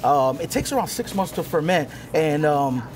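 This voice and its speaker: A man's voice: fairly high pitch, lively intonation, moderate pace, over a noisy crowd. A man who owns a restaurant, chatting casually and proudly about his food and location. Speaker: restaurant owner.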